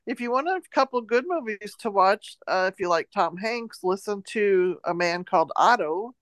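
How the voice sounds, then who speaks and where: A woman co-hosting a podcast in casual chat, talkative and quick to laugh. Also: medium pitch, animated, no echo. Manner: chatty, laughs often. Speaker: podcast co-host.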